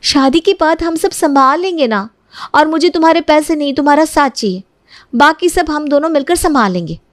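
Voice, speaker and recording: High-pitched lovelorn young woman, acted by a woman. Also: expressive, moderate pace, no echo, studio quality. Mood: pleading. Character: young woman in her twenties, pleading, lovelorn. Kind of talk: cartoon moral-story dialogue.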